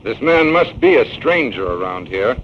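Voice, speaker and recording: A man's voice: medium pitch, sing-song, normal speed, lightly acted. A man playing a curt, impatient police inspector. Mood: gruff. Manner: curt, blunt orders. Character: gruff middle-aged male police inspector, impatient. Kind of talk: 1940s radio acting. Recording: dull old radio transcription with faint hiss.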